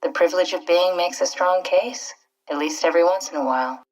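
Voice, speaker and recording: Actress as pragmatic woman scientist, digital mind, measured, matter-of-fact, naturalistic game dialogue, a little off-mic, music bed. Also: lowish voice, a little mellow, recorded from a distance, lightly acted, rough recording. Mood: gentle, reflective. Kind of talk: naturalistic video game acting.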